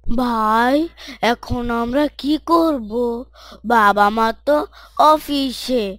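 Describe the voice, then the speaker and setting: A woman's voice: fairly high pitch, extremely slow, fairly bright tone, dry recording, clean studio sound. A woman voicing a frightened little brother who asks for help in a hesitant, pleading way in a cartoon. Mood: worried.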